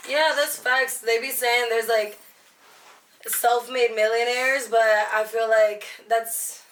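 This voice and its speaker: A young woman from Virginia who grew up speaking both Spanish and English. She is a hip hop and R&B artist talking casually at a lounge venue, in a relaxed and upbeat way with plenty of slang. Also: a little high, slow, far from the mic, a little hiss or hum. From Alexandria, Virginia. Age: young adult. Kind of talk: casual interview. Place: busy lounge venue before a show. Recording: roomy venue sound, from off-mic.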